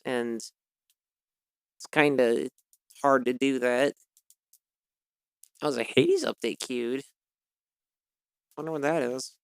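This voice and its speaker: A slightly hoarse man streaming a game, reading its story text aloud and then chatting casually, rambling and correcting himself with many fillers. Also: mid-range voice, fairly bright tone, no echo, studio quality.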